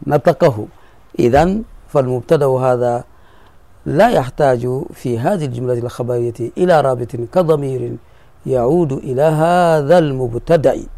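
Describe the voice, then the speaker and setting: Man, sheikh teaching grammar, mellow dark tone, dry close sound, patient and repetitive. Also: medium pitch, dry recording. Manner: patient, repeats key phrases, asks students if they follow. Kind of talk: classroom explanation of a grammar poem.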